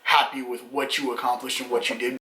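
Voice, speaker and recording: A man's voice: mid-range voice, little pitch movement, a little fast, sharp tone, reverberant, rough recording. A man in his late twenties co-hosting a casual Q&A with his wife, reading viewer questions and teasing. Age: 20s. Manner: joking, teasing, reads questions aloud. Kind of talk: casual couple Q&A chat.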